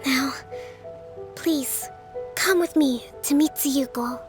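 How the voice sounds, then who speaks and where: A woman playing a composed, earnest young island leader in a video game, speaking in a formal, measured manner. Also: high voice, over music. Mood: grateful, composed.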